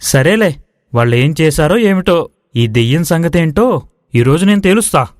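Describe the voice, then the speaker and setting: A man's voice: on the high side, lively intonation, normal speed, dry recording, clean studio sound, close to an everyday voice. A man voicing a bold, skeptical young village man, assertive and argumentative, in acted moral-story dialogue. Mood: dismissive.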